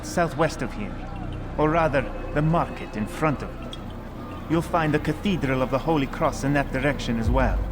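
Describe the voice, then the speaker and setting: A man's voice: mid-range voice, natural voice. A man playing a knowing, dry informant for an assassin order in a video game, articulate and explanatory. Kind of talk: dramatic video game acting.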